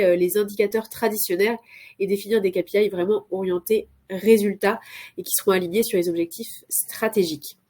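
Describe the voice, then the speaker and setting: A woman's voice: medium pitch, dark tone. A woman with a cold, presenting as a consultant in a live webinar, hesitant with many filler sounds and restarts. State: has a cold.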